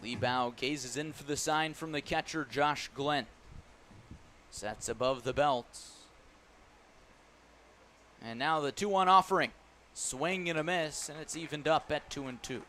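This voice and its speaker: A man does live radio play-by-play for baseball in a fairly high male voice. He describes the action pitch by pitch in a commentator's style. Ballpark ambience is audible behind him. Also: a little slow, pauses often. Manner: descriptive, excited calls rising on big plays. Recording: clear background noise at ballpark.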